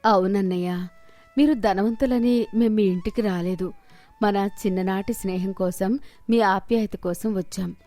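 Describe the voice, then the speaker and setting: A woman voicing a kindly, gracious older woman visitor in a story, gentle and reassuring. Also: medium pitch, fairly even intonation, a little slow, soft background music, close to an everyday voice.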